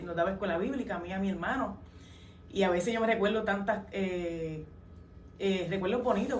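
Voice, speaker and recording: A woman's voice: mid-range voice, a little hiss or hum. A young adult woman from Puerto Rico with a Puerto Rican accent, sharing her testimony as an interview guest, earnest and full of hesitant fillers. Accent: Puerto Rican Spanish. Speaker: guest, worship singer. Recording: roomy, distant mic, faint ambience.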